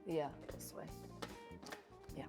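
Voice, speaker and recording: A woman's voice: takes it slowly, warm tone, soft background music. A woman from Russia, hosting a cooking show as a chef, chatting casually, often laughing and telling stories as she cooks in a kitchen. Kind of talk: casual chat while cooking.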